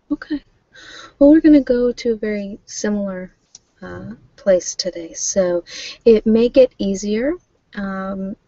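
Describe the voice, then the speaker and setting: A woman's voice: medium pitch, warm tone, no echo, dull audio. A woman playing a friendly, reassuring energy healer in an ASMR role play, talking attentively and soothingly to a client.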